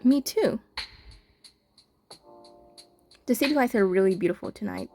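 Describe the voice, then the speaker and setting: A woman playing a polite, uneasy young heroine in an expressive reading of a visual novel script. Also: mid-range voice, quick pace, creaky, soft background music.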